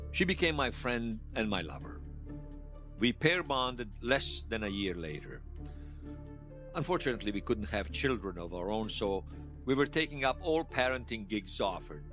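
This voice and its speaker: An actor playing a gentle, wistful older widower in an audio drama, teasing and tender, with a hesitant, stammering delivery. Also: male voice, mid-range voice, natural voice. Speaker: interviewee telling his life story. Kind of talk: audio drama acting, personal reminiscence. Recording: music behind the voice.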